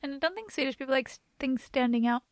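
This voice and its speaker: A woman with a gentle, soft voice hosting a podcast in a casual, chatty conversation. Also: high-pitched, animated, no echo. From United States. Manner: chatty, quick-talking, laughs easily. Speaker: podcast host.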